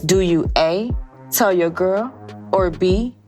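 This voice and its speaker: An actress playing a feisty, sassy young American woman in an audio drama, sharp-tongued and bantering. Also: lowish voice, slow, faint music behind. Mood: playful challenge. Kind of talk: casual audio drama dialogue.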